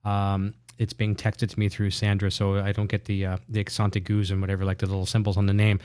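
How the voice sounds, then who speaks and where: Fast-talking male show host. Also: on the low side, completely flat delivery, darkish tone, smooth. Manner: upbeat, reads viewer comments. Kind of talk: casual interview chat.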